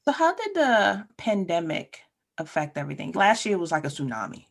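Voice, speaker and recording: Female interviewer, very low and gravelly, brief direct questions. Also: sing-song, normal speed.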